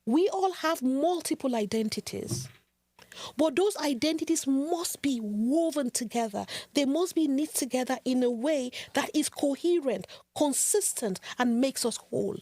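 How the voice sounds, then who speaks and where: A woman hosting a podcast, giving an earnest, measured and rhetorical monologue. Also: high voice, animated, darkish tone, no echo. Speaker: podcast host.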